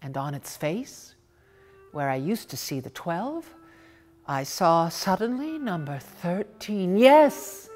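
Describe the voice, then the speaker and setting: A woman reading a short story as theatrical narration, dramatic, with emphatic pauses. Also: fairly low pitch, very animated, darkish tone, faint music behind. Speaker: reader of short stories.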